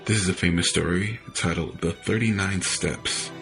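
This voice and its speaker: A man's voice: low-pitched, normal speed, fairly bright tone, soft background music. A man hosting a podcast, giving a scripted introduction. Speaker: podcast host.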